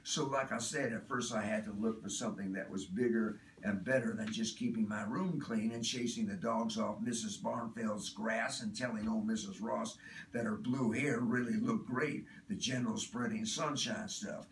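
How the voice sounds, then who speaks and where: A man's voice: fairly low pitch, fairly even intonation, moderate pace, lightly acted. A man performing a dramatic monologue as an earnest, chatty, self-absorbed do-gooder, speaking in a confiding, emphatic way. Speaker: author reading own work. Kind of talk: dramatic first-person monologue reading. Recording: roomy, distant mic, faint noise.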